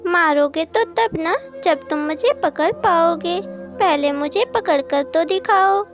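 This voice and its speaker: Small earnest songbird for a kids' cartoon, played by a woman: tiny high voice, unhurried pace. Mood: brave. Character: small young songbird, childlike, earnest and kind. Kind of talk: children's cartoon dubbing in Hindi. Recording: music behind the voice.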